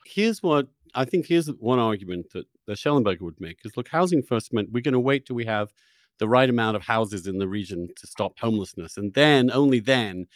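A man's voice: fairly low pitch, animated, fairly quick, dry recording. Skeptical male podcast interviewer, dry sound. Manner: skeptical, pressing. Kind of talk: challenging interview questioning.

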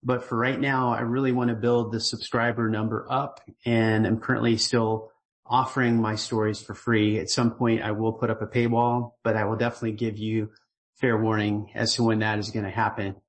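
A middle-aged man, the writer of a newsletter, gives a casual voicemail-style update, relaxed and earnest, rambling with fillers and restarts. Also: lowish voice, moderate pace. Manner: grateful and earnest.

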